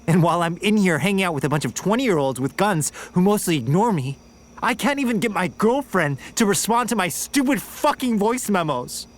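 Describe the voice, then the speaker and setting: A man's voice: high-pitched, expressive, a little fast. A man playing a bitter, heartbroken young soldier. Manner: hurt, bitter, confrontational. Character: young man doing military service, heartbroken. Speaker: lead character, boyfriend. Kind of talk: audio drama acting.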